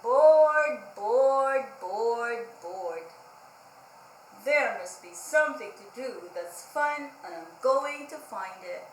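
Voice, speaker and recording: A woman's voice: a little high, animated, takes it slowly, echoey room, slight background noise, rough recording. A woman voicing a restless, boastful pig from a picture book, exclaiming and drawing out words. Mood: moaning, fed up.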